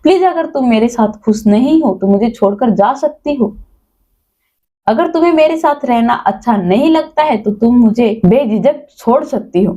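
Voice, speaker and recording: A blind husband from a domestic crime story, voiced by a woman storyteller. He is suspicious and wounded, swinging between accusation and pleading. The voice sits fairly high with a soft, mellow tone. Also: animated, normal speed. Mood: hurt, pleading.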